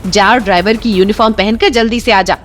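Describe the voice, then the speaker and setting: A woman's voice: mid-range voice, fairly even intonation, fairly quick, soft background music, close to an everyday voice. A woman voicing a scheming, greedy middle-aged mother who bosses her son-in-law around scornfully. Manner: scornful, bossy.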